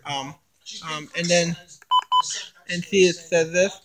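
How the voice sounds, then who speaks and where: Young guy doing a casual unboxing, kinda halting, repeats himself as he explains stuff. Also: on the high side, fairly even intonation, a bit bright, rough recording. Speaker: hobbyist video maker. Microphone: handheld camera, no tripod.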